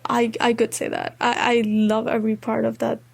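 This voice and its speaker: A young woman with a high voice and a Nepali accent, a native Maithili speaker. She talks in a casual, reflective way, feeling her way through her answers as a student guest in an interview. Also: monotone, moderate pace, vocal fry. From Tarai region of Nepal. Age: young adult.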